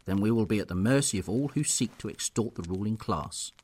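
A man's voice: lowish voice, lively intonation, a little fast, darkish tone, breathy edge. A man playing a stern but loving noble lord and father in an audio drama, speaking in a formal, archaic, commanding way. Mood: firm, resolved.

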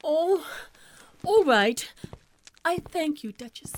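Woman acting a very high-voiced, timid young lady. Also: animated, takes it slowly. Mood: meek. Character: timid, polite, anxious young lady of the court. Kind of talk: audio drama acting.

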